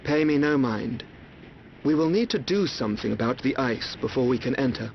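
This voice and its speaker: A man's voice: medium pitch, animated, moderate pace, vocal fry. A man playing a composed, learned noble guide in a video game, with formal, precise, reserved speech. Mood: composed, serious. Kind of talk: video game cutscene acting. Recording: dull, slight hiss and noise.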